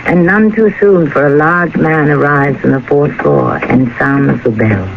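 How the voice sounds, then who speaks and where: Male radio narrator, high and gravelly, measured dramatic delivery, noisy old broadcast sound. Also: dull audio. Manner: solemn, measured, ominous. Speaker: host and narrator.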